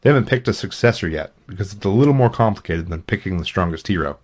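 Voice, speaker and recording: A man voicing a measured, knowledgeable internet forum poster in an audiobook, explaining things. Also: low-pitched, lively intonation, a little mellow. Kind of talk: audiobook character acting.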